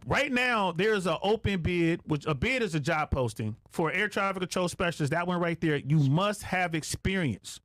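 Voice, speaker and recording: A man's voice: sits high, animated, moderate pace, hardly any pauses, a bit bright, dry recording. A middle-aged man chatting casually as a podcast guest, slangy and emphatic, repeating phrases to drive points home. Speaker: guest, retired air traffic controller.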